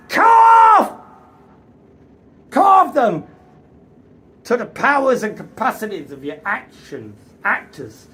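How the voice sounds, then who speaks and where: A woman voicing a bearded Victorian male author who rants in furious, booming oratory from a podium. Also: a bit bright, heavily acted.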